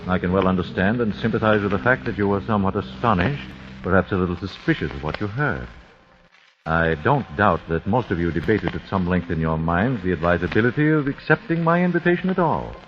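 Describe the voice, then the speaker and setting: An actor playing a grieving wealthy father who speaks in a calm, formal, deliberate way, in 1940s radio drama style. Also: sits low, noisy background. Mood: measured. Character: grieving rich older father host, measured. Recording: muffled old radio broadcast with music.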